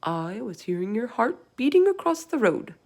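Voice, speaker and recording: A woman's voice: on the high side, very animated, normal speed, a little mellow, pitched above the performer's own voice. A woman voicing a big, friendly, earnest male giant in a storybook, speaking emphatically in quaint, muddled grammar. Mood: earnest, emphatic. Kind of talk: storybook read-aloud character voice.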